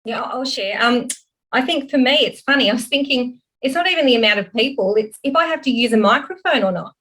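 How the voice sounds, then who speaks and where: A woman's voice: a little high, expressive, fast, fairly bright tone. A woman from Europe sharing candidly as a participant in an online workshop over a video call. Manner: candid, self-reflective.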